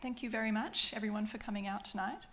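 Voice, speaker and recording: A woman in her thirties from Adelaide, Australia, with an Australian accent touched by British, giving a public science lecture in a clear, explanatory way with light self-deprecating asides, into a microphone in a lecture hall. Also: medium pitch, steady tone, quick pace, fairly bright tone, slight background noise. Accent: Australian with slight British influence. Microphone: microphone at a lectern or on her.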